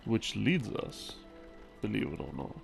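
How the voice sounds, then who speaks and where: A man telling a rambling story as a sleep aid, in a halting, musing way with pauses, in the role of a boyfriend explaining things. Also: lowish voice, very animated. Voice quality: creaky, gravelly. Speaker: audio roleplay creator playing a boyfriend. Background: background music.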